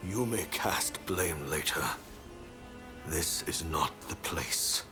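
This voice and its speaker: An actor playing a proud, stern lord in a game cutscene, with a formal, commanding, deliberate manner. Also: male voice, extremely low pitch. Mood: solemn, resolute. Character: proud, stern lord of duty and political ambition. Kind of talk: dramatic video game cutscene acting. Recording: music behind the voice.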